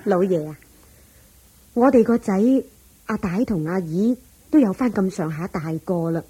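An actress playing the devoted, flattering wife of a rich old master in a Cantonese radio drama, solicitous and deferential. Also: female voice, mid-range voice, moderate pace, slightly rough, low-quality recording.

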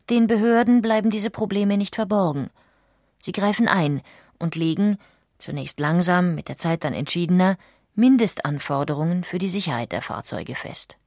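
A woman's voice: lowish voice, darkish tone, no room sound, clean studio sound. A woman reading scripted narration in the manner of a documentary audiobook.

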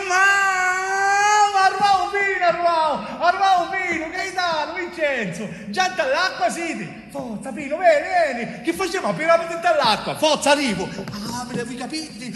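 Exuberant Sicilian youth in echoey hall. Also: male voice, very high voice, a little slow, pushed and bright, exaggerated voice. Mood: boisterous. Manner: theatrical, exclamatory, repeating words insistently. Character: Giuseppe "Pino", young Sicilian bricklayer, exuberant, carefree eighteen-year-old. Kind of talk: solo theatre monologue, dramatic storytelling in dialect. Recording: echoey hall, long reverb, music behind.

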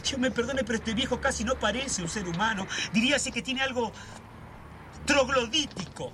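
A man's voice: extremely high pitch, normal speed, noisy background. An actor playing an agitated, suspicious man who sees conspiracies in his neighborhood, in a radio drama. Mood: feverish.

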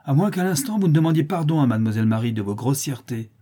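Man acting an indignant young lady, husky. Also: mid-range voice, animated, fairly quick, mellow. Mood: commanding. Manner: imperious, agitated, exclamatory. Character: indignant young lady of the farm, loyal, commanding.

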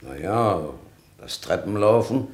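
An actor playing a dry, sardonic, matter-of-fact death-row prison guard in a German radio play. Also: male voice, fairly low pitch, expressive, slow. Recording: echoing, roomy cell acoustic.

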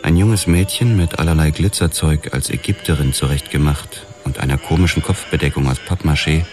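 A man's voice: extremely low pitch, brisk, darkish tone, soft background music. An actor playing a hard-boiled police detective who tells his own story in a dry, matter-of-fact noir style. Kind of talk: noir audio drama narration.

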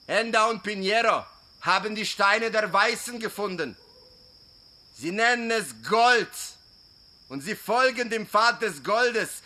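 High, sharp-voiced grave tribesman. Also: full character voice. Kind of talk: Western radio drama acting.

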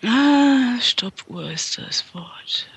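A woman with a cold, her nose plainly blocked, talks in a casual, laughing way. Her pitch swings widely and her tone stays husky. It sounds like a relaxed game-night podcast chat. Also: drawn-out words. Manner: lively, laughs often. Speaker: podcast host and player.

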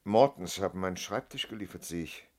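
A man's voice: deep voice, animated, fairly quick, a little mellow. An actor playing a weary, reserved man under police suspicion in a German radio play, hesitant, guarded and formally polite.

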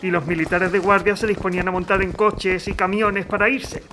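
A man's voice: high-pitched, level tone, bright, forceful tone, slight background noise. A man playing a formal, weary and dutiful civil governor under strain in an audio drama. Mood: grave. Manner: formal, measured authority, hesitant.

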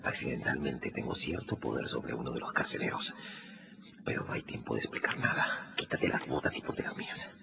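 An actor playing a resolute, self-sacrificing lawyer in a radio drama, giving urgent orders with theatrical intensity. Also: male voice, very deep voice, fairly quick, vocal fry, background noise, exaggerated voice. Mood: hushed, hurried. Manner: imperative, intense, theatrical delivery. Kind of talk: Spanish-language radio drama acting. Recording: dull radio recording with loud music.

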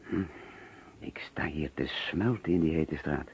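A man's voice: rumbling low, unhurried, creaky, heavily acted. An actor with a Netherlands Dutch accent playing an anxious, hesitant businessman who narrates and mutters to himself in a radio play. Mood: puzzled. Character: anxious middle-class businessman recounting finding a murdered man. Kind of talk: radio play acting with first-person narration. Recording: muffled, noisy old radio play recording.

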